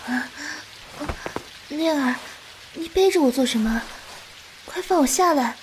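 An actress playing a sick, gentle young woman herbalist in a period wuxia audio drama, speaking softly, tenderly and wearily. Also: high voice, animated, unhurried, noisy background. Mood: concerned. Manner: gentle, weary, tender, coaxing.

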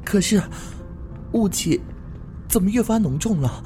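A man's voice: high voice, expressive, a little slow, over music. An actor playing a playful, curious and eager young man in a Chinese fantasy radio drama. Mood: uneasy.

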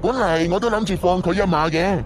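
A smug thief played by a man taunts in with a fairly high voice. The villain sneers theatrically in radio-drama style, with music underneath. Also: a little fast, close to an everyday voice. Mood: gloating menace. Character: smug, mocking master thief in disguise, taunting captives.

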